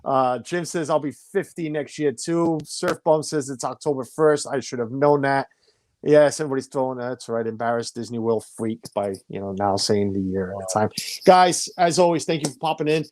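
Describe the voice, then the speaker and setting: A man's voice: mid-range voice, normal speed, darkish tone, no room sound. A man in his forties hosting a casual live stream from home, chatty, stumbling over names and reading viewer comments aloud. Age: 40s. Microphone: home streaming setup.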